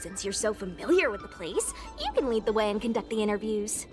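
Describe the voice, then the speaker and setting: An actress playing a confident, brisk young female knight in an anime-style video game, self-assured and bantering. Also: mid-range voice, sing-song, over music, natural voice.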